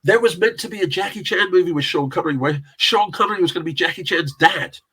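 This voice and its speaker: A man's voice: high voice, quick pace, bright, forceful tone, breathy edge. A middle-aged man with a South London accent, telling rambling film-industry anecdotes in a casual chat, laughing often and swearing now and then. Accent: South London English. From England. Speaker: interview guest, casting director and actor.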